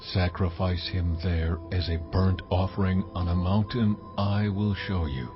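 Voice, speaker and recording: Deep, slow, solemn voice of God. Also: male voice, fairly even intonation, dull audio, over music. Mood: solemn, commanding. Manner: slow, weighty, deliberate. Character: God, solemn commanding divine male voice. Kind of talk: dramatized audio Bible acting.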